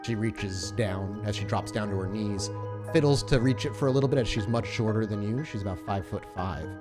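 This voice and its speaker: Low-voiced man narrating over music. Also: fairly quick. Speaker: game master. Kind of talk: narrating a tabletop roleplaying game.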